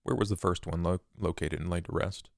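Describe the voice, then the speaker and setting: A man voicing a cold, controlled killer in a tabletop role-play, questioning in a deliberate, formal and menacing way. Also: low-pitched, steady tone, creaky, no room sound, clean studio sound. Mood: probing, solemn. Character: cold, controlled male killer interrogating his copycat.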